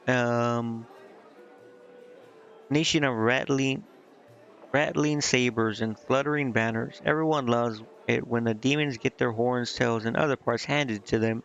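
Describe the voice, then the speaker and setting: A man's voice: mid-range voice, steady tone, unhurried, gravelly, long pauses, a bit bright. A man casually commenting on a video game he is streaming, thinking aloud and reading bits of on-screen text. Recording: slightly dull lo-fi mic, game music behind.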